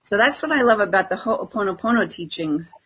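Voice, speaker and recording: A woman co-hosting a casual, conversational spiritual discussion podcast, with a slightly husky voice. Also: medium pitch, animated, fairly quick, fairly bright tone, poor audio. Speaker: podcast co-host.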